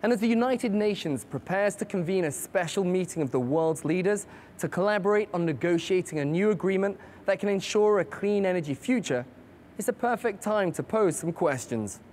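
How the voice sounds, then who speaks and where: Broadcast host, even mid-pitched voice, reads upbeat promo copy over a faint hiss. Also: normal speed. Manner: upbeat promotional delivery, listing upcoming segments. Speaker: host of a live-streamed broadcast. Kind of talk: scripted presenter narration.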